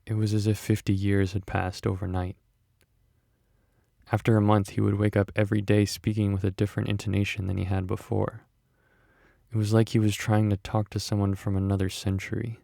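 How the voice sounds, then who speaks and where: Man acting a flat, gravelly doctor narrator. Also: lowish voice, no echo, clean studio sound. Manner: sombre, deliberate. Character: grave, detached male doctor recounting a strange case. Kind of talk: scripted horror narration.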